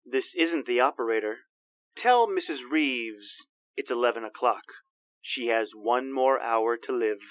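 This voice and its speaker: A man playing an accusing brother of the dead in an old-time radio mystery, with an ominous, deliberate delivery. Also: medium pitch, dry recording, highs cut off, close to an everyday voice. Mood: menacing.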